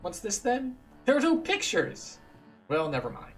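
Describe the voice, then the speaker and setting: Man voicing a folksy villager, pitched up. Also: unhurried, faint music behind, heavily acted. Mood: excited, bumbling. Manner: folksy, colloquial, animated speech. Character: friendly rustic village man, uneducated quest giver.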